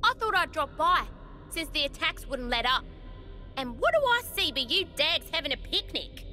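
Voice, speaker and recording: An actress playing a cocky, laid-back fighter who talks in cheeky, drawling slang in a video game. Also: female voice, high voice, big pitch swings, normal speed, bright, forceful tone, faint music behind, exaggerated voice.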